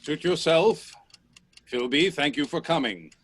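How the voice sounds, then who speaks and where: An actor playing a confident, eccentric professor and inventor in a radio play, assured, formal and grandly insistent. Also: male voice, fairly high pitch, expressive, measured pace, fairly bright tone. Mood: curt, courteous.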